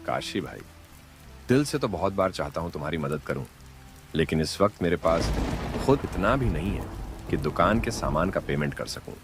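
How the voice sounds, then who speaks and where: Very deep, regretful shopkeeper friend by an actor. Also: male voice, very animated, normal speed, over music. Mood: apologetic, regretful. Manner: apologetic, sincere. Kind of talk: Hindi animated moral-story acting.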